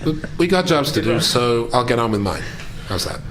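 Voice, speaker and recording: A man's voice: medium pitch, expressive, moderate pace, noisy background. A man playing in a tabletop roleplaying game, improvising casually in a matter-of-fact, laid-back way. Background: music.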